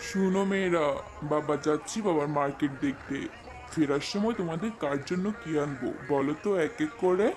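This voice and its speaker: A man's voice: fairly high pitch, a little fast, over music, lightly acted. A man voicing a kindly, weary middle-aged father in a cartoon fairy tale, affectionate and plaintive.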